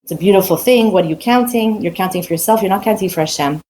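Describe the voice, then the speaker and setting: A woman's voice: medium pitch, fast, darkish tone, slight background noise. Earnest woman giving a casual Torah talk. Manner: earnest, conversational, with many restarts and rhetorical questions. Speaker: Torah teacher.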